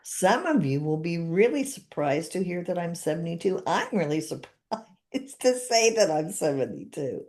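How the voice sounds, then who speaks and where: Older woman with a low, mellow voice. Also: sing-song, moderate pace. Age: 70s. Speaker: podcast host and therapist. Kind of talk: casual conversation, repeating tapping phrases.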